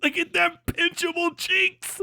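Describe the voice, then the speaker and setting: A man's voice: extremely high pitch, monotone, measured pace, creaky, bright, forceful tone. A young man hosting a livestream, giving crude, mocking reaction commentary and laughing often. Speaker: livestream host. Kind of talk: profane live reaction commentary.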